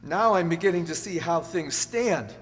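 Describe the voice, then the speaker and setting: A man playing an earnest, anxious young husband in an amateur radio drama, halting and emphatic as he pleads. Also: fairly high pitch, lively intonation, natural voice. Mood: shocked, agitated. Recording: echoey hall, faint hum.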